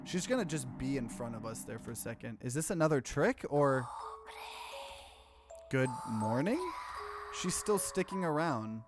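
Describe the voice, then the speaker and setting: A man doing a let's play, reading game text aloud and breaking in with animated, bewildered reactions. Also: mid-range voice, unhurried, pauses often, music in the background.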